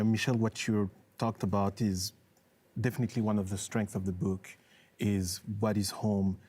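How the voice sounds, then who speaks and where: A man from France, a debate panelist, speaking thoughtfully with halting restarts. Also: lowish voice, warm tone. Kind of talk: debate argument.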